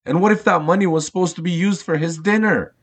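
A man's voice: sits high, fast talker, breathy edge. A man playing a patient, principled father who talks to his children in an earnest, explanatory way in an audio story for kids.

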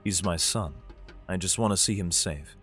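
A man's voice: low-pitched, normal speed, a bit bright, soft background music. A man playing a weary, protective middle-aged father in an audio drama, narrating his flight in a subdued manner. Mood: guarded, protective. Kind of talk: audio drama first-person narration and dialogue.

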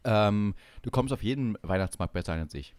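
A man's voice: fairly low pitch, animated, brisk, darkish tone, no echo. A man co-hosting a comedy podcast in casual banter, teasing and announcing things with mock solemnity.

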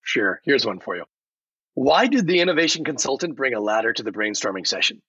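A man's voice: mid-range voice, sing-song, a little fast, sharp tone, slightly rough, no echo, lo-fi sound, full character voice. A man voicing an upbeat AI chatbot guest, giving punchy, slogan-like scripted answers on a podcast. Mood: playful. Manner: peppy, punchy, slogan-like delivery.

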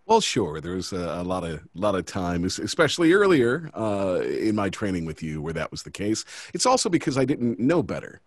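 A man, a tai chi student, answers his teacher in a thoughtful, agreeable way. His voice sits low but moves a lot in pitch. The sound is close and dry. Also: very animated, normal speed, hardly any pauses, dry recording.

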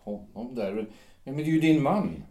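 An actor playing a devout, earnest male visitor who questions the couple gently and steadily in an audio drama. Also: mid-range voice, expressive, lightly acted. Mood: probing. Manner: measured and gentle, stammering when alarmed. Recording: roomy echo.

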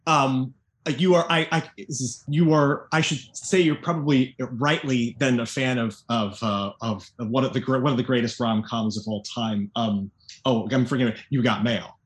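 A man co-hosting a podcast in casual chat, speaking haltingly with many restarts as he searches for words. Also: medium pitch, fairly even intonation. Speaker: podcast co-host.

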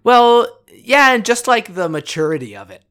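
A podcast co-host in their thirties with a mid-pitched voice talks over a film. The talk is casual, playful and musing, and thoughts often trail off. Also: sing-song, normal speed, fairly bright tone, smooth. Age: 30s.

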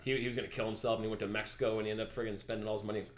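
A man's voice: fairly low pitch, level tone, racing, roomy sound, slight background noise. A man co-hosting a casual film podcast, rambling and stumbling over his words. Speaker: podcast co-host. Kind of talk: casual movie-review chat.

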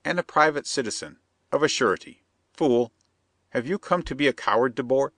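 A man's voice: medium pitch, lively intonation, a little fast, a bit bright, no room sound, studio quality, pitched above the performer's own voice. A man voicing a vehement male schemer who talks in stiff, broken phrasing. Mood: insistent. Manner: insistent, persuasive. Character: scheming male plotter, vehement, stilted speech.

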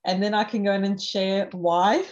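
A woman's voice: mid-range voice, steady tone, moderate pace, darkish tone. Methodical Danish-native woman, slightly muffled. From Denmark. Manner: methodical, lays points out in numbered steps. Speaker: podcast guest, cybersecurity professional. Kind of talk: conversational interview. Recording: slightly dull lo-fi audio.

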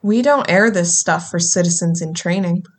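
An actress playing a wry, jaded government enforcer in an audio drama, sardonic and casual. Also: female voice, fairly low pitch, normal speed, lightly acted. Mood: amused, conspiratorial. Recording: clear background noise, ambience.

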